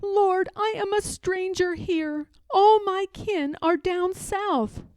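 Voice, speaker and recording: A woman's voice: very high-pitched, slow pace, darkish tone, no echo, voice pushed up. A woman reading a storybook, voicing a humble, devout and determined enslaved woman in earnest prayer. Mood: humble, questioning. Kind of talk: storybook read-aloud.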